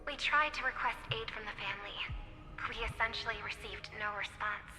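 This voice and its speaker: An actress playing a gentle, earnest young idol in a video game, speaking politely and formally with gracious sincerity. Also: female voice, medium pitch, a little fast, in a large hall, over music, natural voice, rough recording. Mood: apologetic. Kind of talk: scripted video game voice acting.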